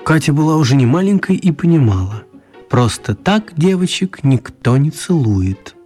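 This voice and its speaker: A man narrating a children's radio story as a wry storyteller, expressive and mock-serious, with deliberate pauses. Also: a little high, slightly rough, soft background music.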